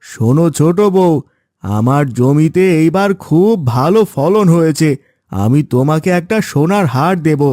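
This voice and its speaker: A man's voice: on the high side, animated, unhurried, warm tone, no echo, studio quality. A man voicing an exasperated village farmer husband in a cartoon fairy tale, with a theatrical, complaining delivery. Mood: coaxing.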